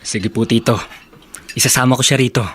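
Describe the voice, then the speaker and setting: A man's voice: mid-range voice, level tone, fairly quick, a bit bright, a little hiss or hum, close to an everyday voice. A man playing a cocky, lustful young male student in a Filipino radio drama, brash and slangy. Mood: persuading, cheeky. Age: young adult.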